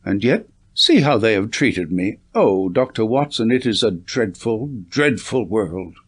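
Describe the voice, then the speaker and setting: A man's voice: lowish voice, sing-song, measured pace, breathy edge, exaggerated voice. A man voicing a bitter, self-pitying old man in an audiobook, plaintive, aggrieved and rhetorical. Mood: aggrieved, lamenting. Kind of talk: audiobook character reading.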